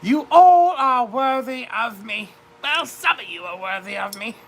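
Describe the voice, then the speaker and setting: A woman's voice: fairly high pitch, lively intonation, unhurried. A woman voicing a vain widowed duchess in her fifties with a British accent. She chats theatrically with her viewers and keeps calling everyone darling. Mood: grand. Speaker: livestream host. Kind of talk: in-character livestream chat.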